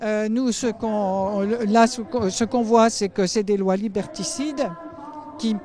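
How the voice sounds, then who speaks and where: A woman's voice: medium pitch, fairly even intonation, slow, a little mellow. A female activist at a protest giving a street interview, hesitant and repeating words. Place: outdoor street rally.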